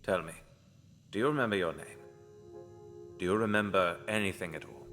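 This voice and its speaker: A man playing a mysterious, grim healer in an audio drama, commanding yet consoling, with measured, ominous, formal phrasing. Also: sits low, fairly bright tone, faint music behind. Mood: solemn.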